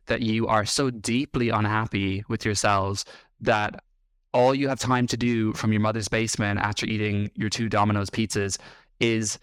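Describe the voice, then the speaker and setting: A man's voice: on the low side, fairly bright tone, smooth, no echo. A man with an Irish accent, hosting a reaction video with blunt, sarcastic commentary. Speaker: host.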